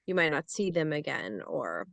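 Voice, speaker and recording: Rambling low-voiced woman, dry sound. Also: sing-song, moderate pace, creaky, fairly bright tone, no room sound. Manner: rambling, leans heavily on "like" and "you know". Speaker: actor on a script reading panel. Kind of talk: casual feedback discussion.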